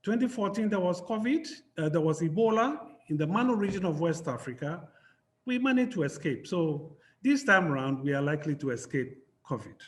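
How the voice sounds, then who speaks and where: A man from Ghana with a Ghanaian accent, giving a measured, explanatory academic panel talk over a video call. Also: on the high side, animated. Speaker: panel speaker. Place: home in Ghana.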